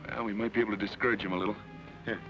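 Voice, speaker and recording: A man playing a quick laconic cowboy ranger. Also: lowish voice, steady tone, lightly acted. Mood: steady. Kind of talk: 1940s radio acting. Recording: dull old radio recording with music.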